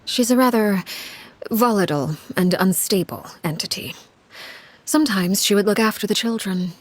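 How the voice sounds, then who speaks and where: A woman voicing a cold, imposing female head of household called Father in a video game, speaking in a measured, formal, menacing way. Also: mid-range voice, natural voice. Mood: cold, resolute. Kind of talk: scripted video game acting. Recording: clear background noise with clicks.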